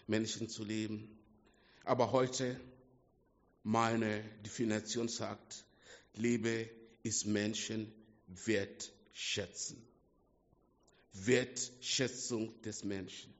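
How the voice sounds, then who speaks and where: A man preaching a sermon to a small congregation in German with a non-native accent, slow and emphatic, swinging between hushed and loud. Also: lowish voice. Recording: dull lo-fi sound with long hall reverb.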